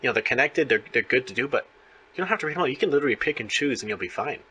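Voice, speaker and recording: A man's voice: mid-range voice, animated, rapid-fire, sharp tone, a little hiss or hum. A man interviewing in a casual, chatty and enthusiastic fan-to-fan way. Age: adult parent of young children.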